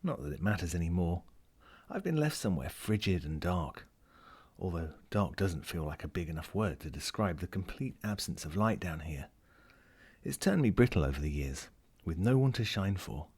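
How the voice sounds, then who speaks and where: A man's voice: low voice, expressive, moderate pace, darkish tone, breathy edge, pitched below the performer's own voice, exaggerated voice. A man voicing a lonely, wry lost ring as he reads a first-person short story in a dramatic, melancholic way. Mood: gloomy, resigned. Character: lonely, world-weary, wry sentient ring lost at sea.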